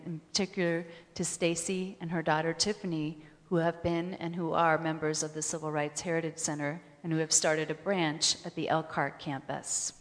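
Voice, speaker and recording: A woman with a slightly low voice gives a public speech in a large hall. Her words carry long reverb as she addresses the audience in the role of a professor. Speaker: history professor and center director.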